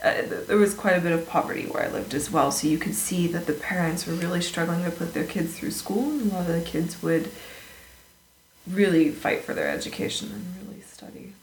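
A woman chatting casually as a guest, telling animated stories about her teaching days and laughing easily. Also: on the low side, a little fast. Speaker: guest, former English teacher. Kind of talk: casual conversational storytelling. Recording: echoey room with faint noise.

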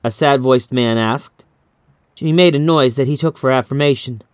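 Measured man narrating horror, dry sound. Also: mid-range voice, smooth, no echo. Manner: measured, dramatic, suspenseful. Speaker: audiobook narrator. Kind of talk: scripted horror story narration.

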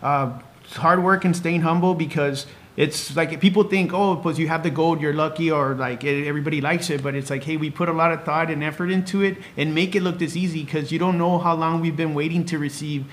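A man's voice: a little high, fairly even intonation, a little fast. A man in his thirties chatting casually as a podcast guest, talkative and full of small anecdotes. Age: 30s. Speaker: podcast guest, clothing brand co-owner. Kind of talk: casual chat.

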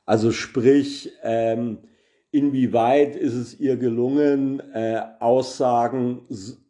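A man's voice: mid-range voice, steady tone, slow, mellow. A man hosting a solo podcast, explaining a news topic carefully with many hesitations and filler sounds. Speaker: podcast host.

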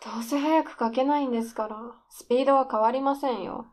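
Radio drama role, played by a woman: would-be female screenwriter at the end of her rope, higher-pitched, needling and defiant. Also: fast talker, darkish tone, natural voice. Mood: eerily flippant. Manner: needling, intense, provocative polite speech. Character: desperate, defiant, lazy aspiring female scriptwriter. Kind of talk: radio drama dialogue acting.

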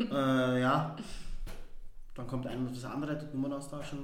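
A man in his twenties, a podcast guest, talking in an easygoing, halting way. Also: medium pitch, level tone, roomy sound, slight background noise. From Vienna, Austria. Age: 20s. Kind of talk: casual podcast chat. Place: podcast studio.

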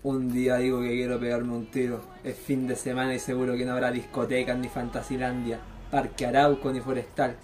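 A needy, boastful Chilean teenage boy, played by a young man in a radio drama. The voice is mid-pitched, with faint music underneath. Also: level tone, a little fast, hardly any pauses, a little mellow, natural voice. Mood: brooding. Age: young adult. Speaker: student performer. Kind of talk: radio theatre acting.

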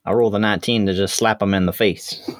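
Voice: sits low, steady tone, quick pace, dry recording. A player in a tabletop game who laughs constantly through the banter.

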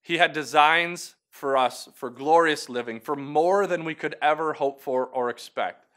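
A man's voice: fairly high pitch, fairly bright tone. A man hosting a short weekly video devotional, talking earnestly and encouragingly to the viewer in a conversational way. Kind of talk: short devotional talk to camera.

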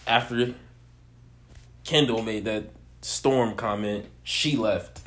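A man's voice: fairly low pitch, takes it slowly, a bit bright. A man in casual chat, teasing and laughing often, his voice a bit nasal and sniffly from allergies.

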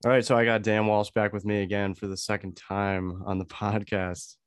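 A young man hosting a podcast, sounding congested from a cold, chatty and relaxed, laughing often. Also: on the low side, moderate pace, clear, resonant tone, dry recording, studio quality. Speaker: podcast host. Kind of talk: casual podcast chat.

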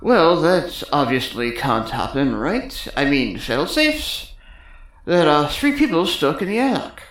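Woman acting a lively, sarcastic technician. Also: sits low, measured pace, reverberant. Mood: sarcastic, dismissive. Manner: sarcastic, condescending. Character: cynical, vain, sarcastic electrical technician named Tom. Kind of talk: farcical comedy audio drama acting.

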